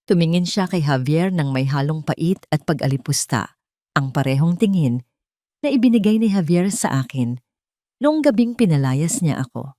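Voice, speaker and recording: A woman's voice: deep voice, animated, moderate pace, dark tone, no echo, studio quality. A woman reading a scripted first-person story as a dramatic narrator.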